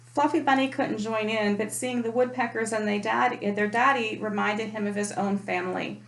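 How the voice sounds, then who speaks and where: A woman's voice: a little high, steady tone, normal speed, vocal fry, slight background noise. A woman reading a children's picture book aloud in a storytime style. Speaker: storytime reader, parent. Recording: echoey room.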